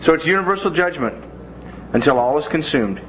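A man's voice: a little high, expressive, a little fast. A man teaching a Bible class in a classroom in a folksy way, with joking asides. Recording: dull lo-fi classroom recording with faint noise.

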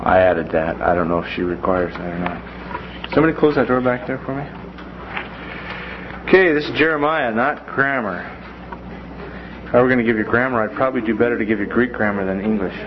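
A man's voice: lowish voice, brisk. A man teaching a Bible class in a classroom in a folksy way, with joking asides. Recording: dull lo-fi classroom recording with faint noise.

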